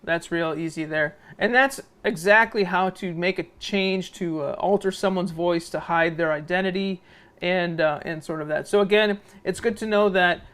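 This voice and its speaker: A man with a noticeably high, smooth and clear voice narrates a software tutorial. He explains informally, step by step. He often tags his points with little checks for understanding. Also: normal speed. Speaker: video editing instructor.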